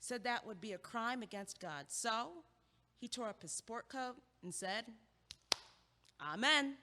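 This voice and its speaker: A woman playing a sharp, guarded, quick-witted young college student in a naturalistic comedic audio play. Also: fairly high pitch, animated, noisy background. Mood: wry storytelling. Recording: echoey hallway-like reverb.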